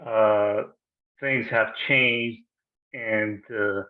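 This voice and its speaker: A man in his thirties from Iraq, a podcast guest telling his story in a halting, casual way with many restarts. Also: fairly low pitch, fairly even intonation. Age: 30s. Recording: tinny phone-like line.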